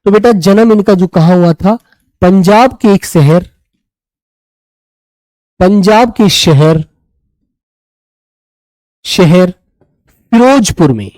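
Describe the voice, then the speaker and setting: A man's voice: high voice, takes it slowly, long pauses, darkish tone, no echo, rough recording. A man teaches an online exam class. His throat is a little sore. He checks in fondly with his students and repeats key phrases for note-taking. The sound is close and dry. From Uttar Pradesh, India. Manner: affectionate check-ins with students as "beta". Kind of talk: live online lesson, addressing students.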